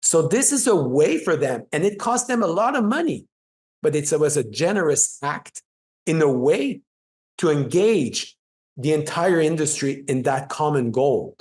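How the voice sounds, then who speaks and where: A man's voice: on the high side, unhurried. A middle-aged man with a French Canadian accent, French his first language, speaking as a guest in an interview, telling stories with emphasis and frequent restarts. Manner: animated, emphatic storytelling with restarts. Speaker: PR agency founder, guest. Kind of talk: conversational interview.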